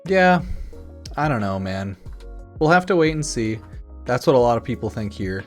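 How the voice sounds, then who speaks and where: A man's voice: mid-range voice, big pitch swings, normal speed. A man giving casual, rambling commentary as a gaming YouTuber, informal and noncommittal, with some mild swearing. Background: music in the background.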